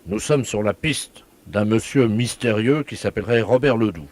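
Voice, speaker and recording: A man playing an officious, deadpan village mayor who gives solemn, insistent warnings in a prank phone call. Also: on the low side, moderate pace, a little hiss or hum, close to an everyday voice.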